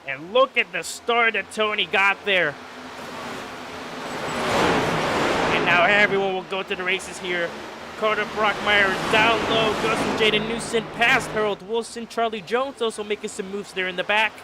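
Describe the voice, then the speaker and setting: A man commentating a sim race play-by-play. He sounds a little stuffy from being sick, and he gets excitable at restarts and wrecks. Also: high-pitched, measured pace, heavy background noise.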